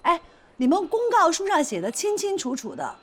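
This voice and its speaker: An actress playing a pushy, flirtatious shop owner in a screen drama, insistent, teasing and quick to take offence. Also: female voice, very high-pitched, very animated. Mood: indignant. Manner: insistent, teasing, indignant, theatrical. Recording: noticeable background noise and ambience.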